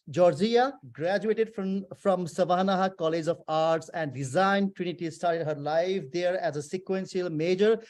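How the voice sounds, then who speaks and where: A man's voice: high voice, darkish tone. A man hosting a casual video interview, asking playful, teasing questions. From Nepal. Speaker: host.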